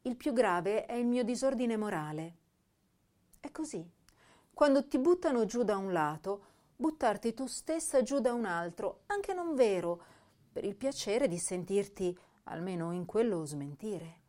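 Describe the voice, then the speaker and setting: Casting: woman to play troubled young 1930s Italian poetess, introspective and expressive, dark soft-edged tone, mid pitch. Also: a little slow, natural voice. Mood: bitter, confessional. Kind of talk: dramatic reading of diaries, letters and verse.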